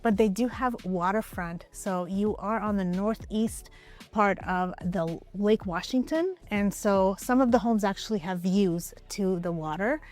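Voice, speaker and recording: A woman from Ukraine talks easily through interview questions as a real estate agent. She is relaxed and conversational, and she often softens her answers with small hedges. Her voice sits mid-range, with soft music faint underneath. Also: medium pitch, unhurried.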